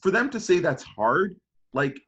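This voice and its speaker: A man's voice: high-pitched, very animated, a little slow, a bit bright, highs cut off. A man in casual podcast debate, arguing his point in an insistent, emphatic way. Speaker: podcast panelist.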